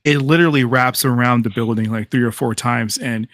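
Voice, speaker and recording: Casting note: male podcast host, easygoing and chatty, mid pitch, dry close mic. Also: fairly even intonation, fairly quick, fairly bright tone, no echo. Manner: loose and chatty, laughs easily, many fillers. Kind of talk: casual live podcast chat.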